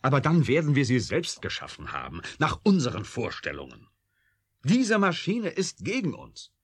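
Ein Schauspieler spielt in einem deutschen Hörspiel einen verbitterten, trotzigen Wissenschaftler mit sardonischer, eindringlicher Art. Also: male voice, medium pitch, huge pitch swings, normal speed, a bit bright, breathy edge, heavily acted. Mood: grave, insistent. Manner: sardonic, insistent, intense. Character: embittered, urgent, defiant male computer scientist. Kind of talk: German radio drama acting.

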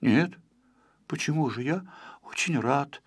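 A man's voice: fairly high pitch, animated, slow, husky, no room sound, studio quality, exaggerated voice. A man voicing a timid, gloomy little storybook fellow, hesitant and plaintive. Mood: reluctant.

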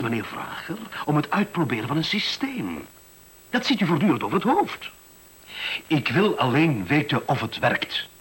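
An actor playing a pompous, stubborn official who blusters and falters when cornered, in a Dutch radio play. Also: male voice, medium pitch, a bit bright, husky, full character voice, poor audio. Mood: dismissive. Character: pompous, stubborn official running an education experiment, easily rattled.

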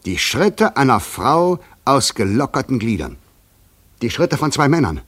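An actor in a radio play playing a probing, insistent stranger who talks in a theatrical, poetic way, moving between soft and emphatic. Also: male voice, medium pitch. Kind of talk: German radio play acting.

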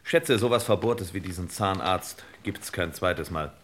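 Low-voiced deadpan detective boss. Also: male voice, unhurried. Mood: dry, knowing. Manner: clipped, deadpan, swaggering detective jargon. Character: shrewd, dry, hard-boiled male detective boss. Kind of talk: old German radio crime parody acting. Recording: noisy vintage radio play recording.

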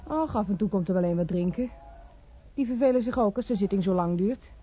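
Skeptical barmaid, female actor, radio play: down-to-earth, medium pitch, muffled vintage sound. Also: brisk, a little hiss or hum, natural voice. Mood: casual. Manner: matter-of-fact, skeptical. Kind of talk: Dutch radio play acting. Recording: dull old radio play recording.

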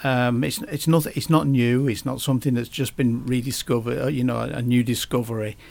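A man speaking as a guest in a casual podcast conversation, halting often and restarting his sentences. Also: mid-range voice, fairly even intonation, creaky, darkish tone. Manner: halting, many restarts and fillers.

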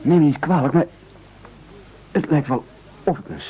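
A man's voice: a little high, normal speed, natural voice. An actor playing a timid, plaintive castaway mother's boy who speaks hesitantly, in a dull radio play recording. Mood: timidly hopeful.

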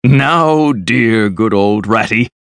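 A man voicing a boastful, excitable, rich toad from a storybook, gushing and exclaiming as he talks on. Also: on the low side, slow pace, slightly rough, dry recording, exaggerated voice. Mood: persuasive. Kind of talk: storybook character voice.

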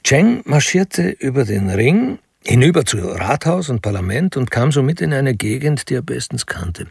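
Narrator, heavy gravelly creak, mid pitch, sober, literary, radio crime drama. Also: male voice, sing-song, normal speed, no echo, clean studio sound, full character voice. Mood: sober, detached. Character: sober, literary male narrator of a detective story.

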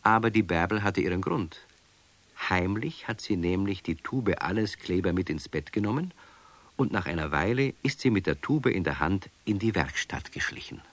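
An actor as the storyteller of a children's radio play, narrating from a script and addressing young listeners. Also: male voice, deep voice, expressive, normal speed. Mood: measured storytelling.

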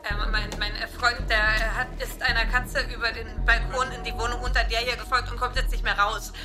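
A woman acting an anxious, quick-witted young woman in an audio drama, hesitant and sometimes whispering. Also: on the high side, flat delivery, music in the background, close to an everyday voice. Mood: nervous, evasive.

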